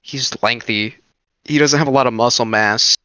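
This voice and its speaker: A man with a bright, forceful voice at average pitch argues casually in a group chat, swearing freely. The sound is dry and a touch muffled. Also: normal speed, no echo. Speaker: panelist in a group discussion.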